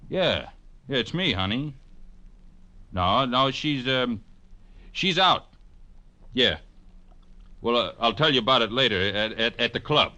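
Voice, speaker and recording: An actor playing a menacing fat gunman with a thick, soft voice and curt, bullying manner in an old radio crime drama. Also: mid-range voice. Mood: evasive. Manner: curt, bullying tough talk.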